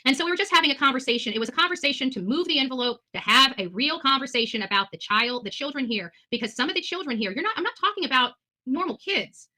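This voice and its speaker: A woman's voice: sits high, animated, quick pace, pushed and bright. An American woman answering questions as a guest on a live stream, blunt, combative and given to swearing in unscripted talk. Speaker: talk show host.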